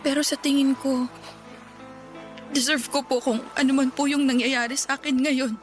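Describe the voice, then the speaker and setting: A woman's voice: high voice, flat delivery, normal speed, faint music behind, close to an everyday voice. A woman playing a guilt-ridden, withdrawn young woman in a radio drama, speaking politely and confessionally. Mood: remorseful. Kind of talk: Filipino radio drama dialogue.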